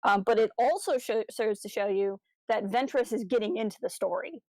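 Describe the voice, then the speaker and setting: Woman, podcast co-host, casual discussion, enthusiastic, analytical, mid-pitched voice, dry sound. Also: normal speed, a little mellow, no room sound, poor audio. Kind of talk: casual discussion of a fan audio drama.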